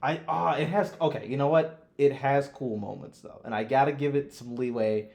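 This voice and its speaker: A man talking casually as a podcast host, blunt and quick to swear as he gives his opinions. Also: mid-range voice, creaky, darkish tone. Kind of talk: casual chat, ranking films.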